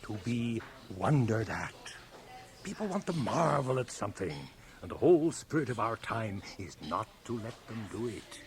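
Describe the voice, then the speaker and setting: An actor playing a proud, mysterious stage magician in a declamatory, grandiose radio drama manner. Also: male voice, mid-range voice, sing-song, moderate pace. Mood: lofty disdain. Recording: hissy 1980 radio cassette transfer.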